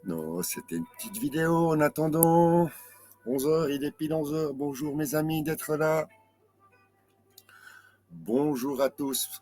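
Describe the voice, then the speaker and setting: A man's voice: a little high, expressive, a little slow, pauses often, mellow, faint music behind. A man in his late fifties with a French accent, teaching tai chi in a chatty, self-mocking live class. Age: 50s. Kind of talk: live-streamed class explanation.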